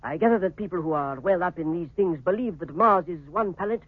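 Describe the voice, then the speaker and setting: Polite, lighter-voiced reporter. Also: male voice, sits high, brisk, slightly rough, no room sound. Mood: earnest, curious. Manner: tentative, careful, formal questioning. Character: polite, hesitant, earnest male reporter. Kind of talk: 1950s British radio drama acting. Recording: muffled, band-limited 1950s radio recording.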